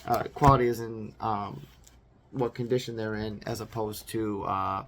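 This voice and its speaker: A man in his forties, hoarse from a recent cold, rambling casually on his vlog with lots of fillers and restarts. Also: fairly low pitch, fairly even intonation, moderate pace, fairly bright tone, a little hiss or hum. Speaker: vlogger. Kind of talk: casual unboxing chat.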